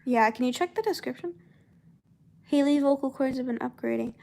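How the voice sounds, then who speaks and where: A young woman chatting casually and unscripted, in a halting, rambling way with trailing thoughts and repeated words. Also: sits high, gravelly, dark tone. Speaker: voice actor and comic dub creator chatting with viewers.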